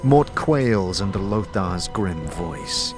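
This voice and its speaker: Expressive low-voiced male fantasy narrator. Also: slow pace, music in the background. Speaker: narrator and lead actor. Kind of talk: dramatic scripted fantasy narration.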